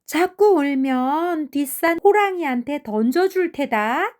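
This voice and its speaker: Lady doing a mom coaxing her crying kid in a fairy tale, high voice, draws words out. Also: expressive, slow pace, a little mellow. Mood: scolding. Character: mother soothing and scolding her crying baby.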